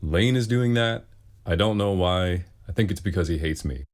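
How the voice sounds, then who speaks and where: A man speaks in a low, deep bass voice. He talks casually as a singer fielding questions, with a wry and self-deprecating sense of humor. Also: moderate pace. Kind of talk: casual Q and A talk.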